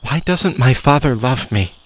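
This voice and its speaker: A man's voice: mid-range voice, expressive, normal speed, dry recording, natural voice. A man playing a wounded, frustrated son who yearns for love, in an audio drama. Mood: hurt, frustrated.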